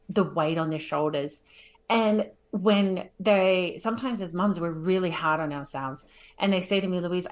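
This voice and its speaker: A middle-aged woman from New Zealand with a New Zealand accent, chatting informally as an interview guest and often checking in with "right?". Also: on the low side. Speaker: podcast guest, home organizer. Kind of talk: casual conversational interview.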